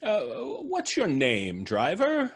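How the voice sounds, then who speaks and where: A man playing a calmly cheerful doomed stranger. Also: sits high, slightly rough, full character voice. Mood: serene. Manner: unhurried, amused, serenely cryptic. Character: calm, cheerful man expecting his death. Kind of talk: live radio drama reading.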